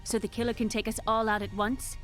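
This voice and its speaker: An actress voicing an indignant, haughty female alien senator in an animated series, with a dramatic delivery. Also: a little high, fast, close to an everyday voice. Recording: music behind the voice.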